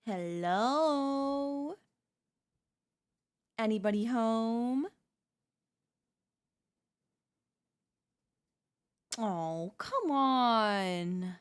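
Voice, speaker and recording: A woman performs a female zombie in an audio roleplay, chatty and falsely friendly. She coaxes the listener at an unhurried pace, wheedling and catching herself in small slips. Her pitch sits in a middle female range. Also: clean tone. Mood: coaxing, feigned friendliness. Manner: wheedling, conversational, with hesitant slips and self-corrections.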